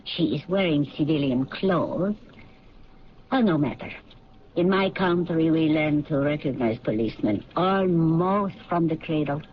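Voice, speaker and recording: A woman's voice: deep voice, lively intonation, a little slow. A defiant, guarded woman. She speaks formally, in clipped phrases. The sound is a dull, hissy 1970s radio drama recording. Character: guarded, defiant foreign woman, bereaved companion of murdered man.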